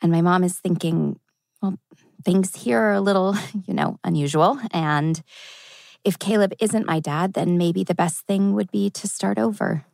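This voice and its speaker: A woman's voice: fairly low pitch, a little slow, creaky, darkish tone, dry recording. A woman playing an anxious, wry teenage girl, speaking hesitantly in a TV teen drama table read. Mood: anxious, unsure. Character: anxious, wry teenage girl torn over her family.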